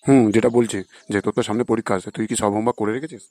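A man's voice: lowish voice, steady tone, rapid-fire, darkish tone, dry recording, natural voice. A man voicing a worried, stern father in an amateur cartoon, grumbling and repetitive in his advice.

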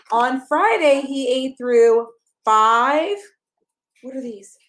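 A woman in her thirties reading a picture book aloud to a toddler, expressive and encouraging, coaxing the child along with questions. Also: high voice, very slow, roomy sound. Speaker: pastor reading a children's story. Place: small carpeted room at home, indoors. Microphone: camera a step or two away.

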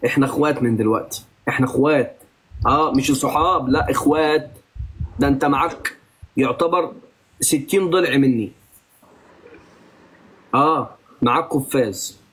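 A man's voice: mid-range voice, moderate pace, long pauses, fairly bright tone, slightly rough, rough recording. A man with an Egyptian Arabic accent hosting a live stream, teasing and bantering with a guest in a playful, mock-stern way.

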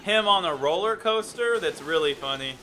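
A young man giving relaxed, chatty commentary on his own game stream, reading chat aloud and sounding a bit phlegmy. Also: a little high, normal speed, a little hiss or hum. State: phlegmy throat. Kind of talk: casual gameplay commentary. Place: indoor room at a desk. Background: music playing.

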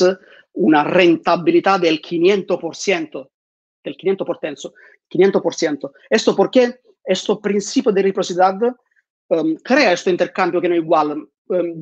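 A man's voice: sits high, moderate pace, no echo. A man, giving an online conference talk in a room at home on a lapel mic, with many fillers and repeated words. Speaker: conference speaker. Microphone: lapel mic on a video call. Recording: dull dry home video call.

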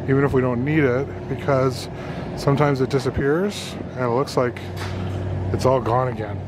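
A man's voice: mid-range voice, fairly even intonation, moderate pace. A middle-aged man, chatting casually as a vlogger on a handheld camera. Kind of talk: casual shopping vlog chat. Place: large indoor warehouse store. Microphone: handheld camera microphone. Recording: loud warehouse store ambient noise. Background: loud store ambience.

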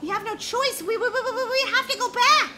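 A performer voicing an excitable, earnest young mermaid princess with melodramatic, exclamatory delivery in a children's story. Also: very high-pitched, a little fast. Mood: alarmed, urgent. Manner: melodramatic, exclamatory, stammering when upset. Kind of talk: dramatised children's story acting. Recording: music behind the voice.

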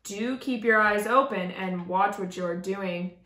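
A woman voicing a gruff, wise male lion from a storybook, firm and advising. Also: medium pitch, unhurried, a bit bright, reverberant, lightly acted.

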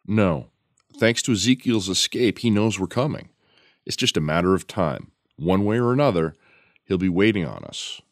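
A man's voice: sits low, expressive, moderate pace, dry recording, studio quality. A man playing a decisive, combative fighter in an audio drama, firm and blunt. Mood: resolute. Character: decisive, combative fighter urging direct attack.

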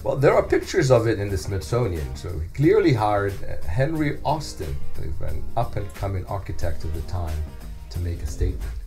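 A middle-aged man playing an earnest hometown journalist in a scripted podcast, telling local history in a measured way with deliberate pauses. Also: fairly low pitch, very animated, music in the background. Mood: admiring. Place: small indoor podcast studio. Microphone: close studio microphone on a stand.

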